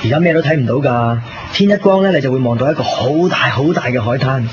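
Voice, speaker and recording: A man's voice: medium pitch, fast talker, background noise, natural voice. A man playing a tender, teasing young boyfriend in a Hong Kong Cantonese radio drama, talking casually and intimately. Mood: playful teasing. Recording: dull lo-fi radio recording, loud music. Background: loud music.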